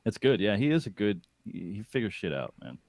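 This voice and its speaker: Deep buttery-voiced laid-back guest. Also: male voice, very animated, brisk, creaky, a little mellow, no room sound. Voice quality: rich buttery voice. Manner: laid-back, laughing, easygoing. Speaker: podcast guest. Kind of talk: casual conversation.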